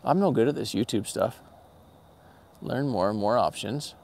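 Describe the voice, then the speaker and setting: A gravelly-voiced man in his forties, chatty and upbeat, hosts a casual livestream. He talks into a phone held at arm's length outdoors at night, and faint background noise runs under his voice. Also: on the low side, measured pace, darkish tone. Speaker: channel host doing a livestream. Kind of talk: casual livestream chat with viewers. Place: outdoor parking lot at night.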